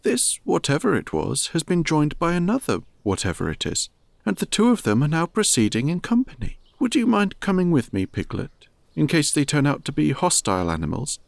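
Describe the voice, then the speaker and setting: A slow, earnest teddy bear from a storybook, voiced by a man ponders aloud in a raised, gently lilting voice. He drawls his words solemnly, as if every small puzzle were a great mystery. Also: a little high, huge pitch swings, gravelly, no room sound, pitched above the performer's own voice, full character voice. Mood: puzzled. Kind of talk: storybook character voice.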